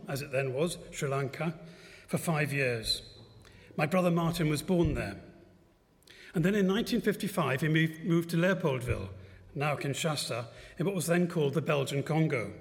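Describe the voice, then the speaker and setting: A man in his seventies giving a personal testimony at a church service, telling his life story in a gentle, reflective way with light self-mocking humour. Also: on the high side. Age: 70s. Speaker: guest speaker at a church service. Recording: long church-hall reverb.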